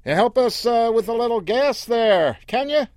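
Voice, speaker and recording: A man's voice: expressive, a little mellow, no echo, clean studio sound. A man voicing a nervous, lost city father who stammers and halts. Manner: stammering, halting, pitched up.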